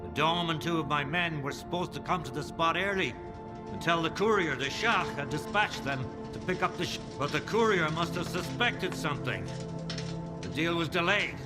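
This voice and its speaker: A man's voice: fairly high pitch, close to an everyday voice. An actor playing a nervous, bargaining gang crook in a video game, talkative and eager to save his skin. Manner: pleading, talkative. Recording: loud music behind the voice.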